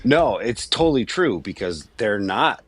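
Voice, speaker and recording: Guest, man pushing forty, low voice, dry close sound, emphatic and earnest. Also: big pitch swings, measured pace, a bit bright, breathy edge, dry recording. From Venezuela. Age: 30s. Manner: earnest, emphatic, restarts often. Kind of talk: casual debate chat.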